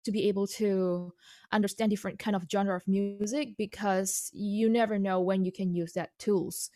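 Female composer guest, Indonesian native speaker, mid pitch, halting, dry recording. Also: steady tone, dark tone, dry recording. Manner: halting, many fillers and restarts, laughs often. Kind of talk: casual interview.

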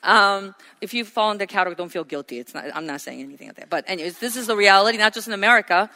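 A woman's voice: medium pitch, racing, pushed and bright. A woman pastor preaching a sermon, earnest and asking her congregation questions. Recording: hall reverb.

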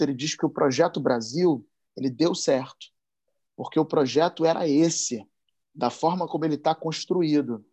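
A man's voice: mid-range voice, warm tone. A man from Brazil with a Carioca accent, giving an impassioned talk full of slangy asides. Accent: Carioca Portuguese. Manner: emphatic, rising and speeding up when worked up, slangy asides.

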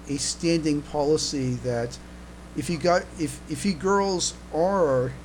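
A man co-hosting a casual fan podcast, talking haltingly and often repeating and restarting his words. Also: a little high, darkish tone, poor audio. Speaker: podcast co-host. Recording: clear background noise, mains hum.

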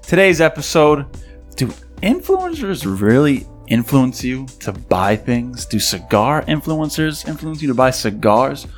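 A man's voice: medium pitch, unhurried, soft background music. A man co-hosting a podcast, chatting casually across a table and telling jokey stories. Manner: joking, animated storyteller. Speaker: podcast co-host. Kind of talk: casual chat. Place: studio table with a co-host.

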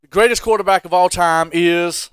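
High-voiced, emphatic American man. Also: steady tone, moderate pace, fairly bright tone, clear, resonant tone, dry recording. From Carolina, United States. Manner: emphatic, insistent, rhetorical questioning. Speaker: sports podcast host. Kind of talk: casual sports debate.

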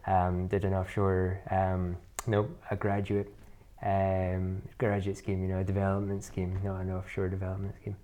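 A man's voice: sits low, monotone, normal speed, hardly any pauses, dark tone. A Scottish man from Inverness, maybe in his thirties, telling his business story in a chatty, wry way, often correcting himself. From Scotland. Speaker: business co-founder guest. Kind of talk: casual interview chat.

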